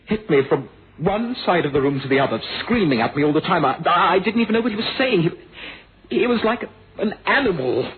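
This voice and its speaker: An actor playing an earnest, wounded young man in a suspense radio drama, speaking haltingly and repeating words. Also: high-pitched, sing-song. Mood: agitated. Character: troubled, wounded young man with violent past. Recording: noisy phone-like vintage radio recording.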